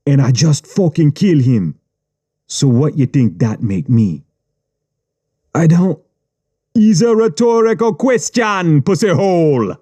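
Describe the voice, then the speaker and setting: A man voicing a menacing Jamaican gang boss who speaks in calm, mocking patois, read for an audiobook. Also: on the high side, slow pace, long pauses, husky, voice pushed up, exaggerated voice. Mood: threatening. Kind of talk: audiobook character reading.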